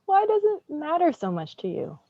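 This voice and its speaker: A reserved young woman, played by an actress in a drama reading. She is careful and gently teasing, with lively, highish intonation. The audio is dry and slightly muffled. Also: normal speed, vocal fry, no room sound, heavily acted. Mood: guarded, reluctant. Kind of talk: dramatic staged reading dialogue.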